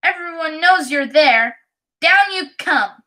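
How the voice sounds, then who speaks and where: A woman voicing a mean, spiteful schoolgirl tattletale with a squeaky little voice in a storybook. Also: expressive, takes it slowly, pushed and bright, exaggerated voice. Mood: spiteful, taunting.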